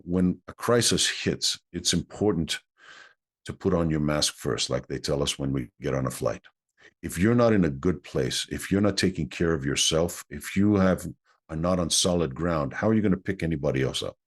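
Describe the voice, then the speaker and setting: A man's voice: rumbling low, lively intonation, normal speed, slightly rough. A man whose first language is Greek, an executive coach answering interview questions in an earnest, explanatory way.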